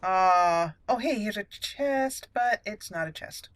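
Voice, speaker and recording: A woman's voice: medium pitch, lively intonation, slow pace. A woman hosting a roleplay let's play, chatty and playful, thinking aloud as she goes. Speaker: gaming host.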